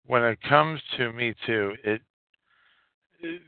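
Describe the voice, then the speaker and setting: A man hosting a solo podcast, giving opinionated commentary in halting, emphatic phrases with a lot of repetition. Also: mid-range voice, creaky, clean tone, no room sound. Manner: coarse, swearing. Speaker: podcast host. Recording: narrow phone-like line, dry.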